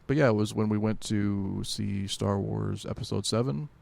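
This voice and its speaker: A man chatting casually as a podcast co-host, with a deadpan, self-deprecating manner. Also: lowish voice, moderate pace, vocal fry. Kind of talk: casual chat.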